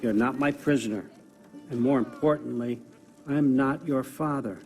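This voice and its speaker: A man's voice: medium pitch, normal speed, a little mellow, close to an everyday voice. An actor playing a sly, powerful older god-king in a video game, offhand and commanding. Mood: breezy reassurance. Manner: offhand, wry, talking like a busy boss. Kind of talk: naturalistic video game cutscene acting. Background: background music.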